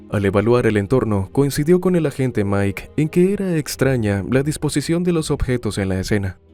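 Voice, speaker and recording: A man delivering scripted true crime narration in a measured, dramatic storytelling manner. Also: mid-range voice, mellow.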